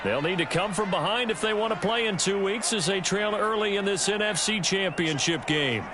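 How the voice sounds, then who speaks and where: A man voicing a polished, excitable TV football play-by-play announcer in a video game. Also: high voice, normal speed, background noise, natural voice. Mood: measured, polished. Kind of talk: televised football commentary.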